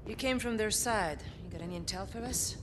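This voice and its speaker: A woman's voice: medium pitch, a little fast, noisy background, natural voice. An actress playing a hardened, bitter older female commander in a video game, stern and curt. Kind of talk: video game cutscene acting. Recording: long reverb, clear background ambience.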